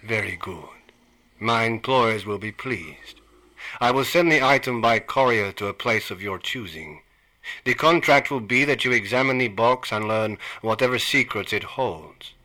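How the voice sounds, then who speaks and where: Sinister dealer, male, cold and clipped, bright edgy tone, hushed menace, played by a man. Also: lowish voice, unhurried. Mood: cold, menacing. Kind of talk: radio play acting.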